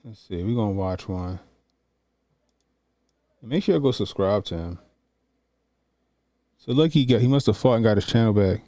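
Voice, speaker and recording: A man's voice: lowish voice, expressive, normal speed, pauses often, warm tone, dry recording. A man, chatting loosely and profanely while reacting to a video. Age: 40s. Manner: loose, profane, rambling with slang. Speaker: commentary streamer. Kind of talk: casual reaction stream.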